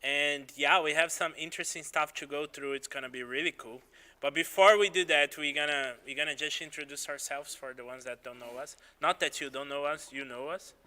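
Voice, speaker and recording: A man with a very bright, smooth voice hosts a casual live-stream chat, upbeat and friendly. He speaks a little higher than most men. The sound carries a long reverb, as if in a hall. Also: steady tone, brisk. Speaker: host.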